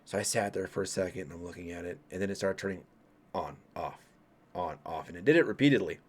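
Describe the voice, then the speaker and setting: A man's voice: low voice, fast talker, a little hiss or hum. A man in his late thirties from Colorado casually tells his own ghost stories to viewers, rambling with frequent restarts and asides. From Colorado, USA. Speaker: vlogger. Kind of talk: casual ghost story telling.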